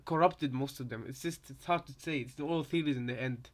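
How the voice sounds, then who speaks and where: A man's voice: mid-range voice, brisk. A man chatting casually on a live reaction stream, rambling hesitantly and often repeating his phrases. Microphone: headset.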